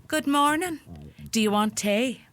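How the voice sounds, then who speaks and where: A woman's voice: high-pitched, measured pace, fairly bright tone. An actress with an Irish accent playing a bossy, hospitable woman of the house in a radio play. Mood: brisk, hospitable.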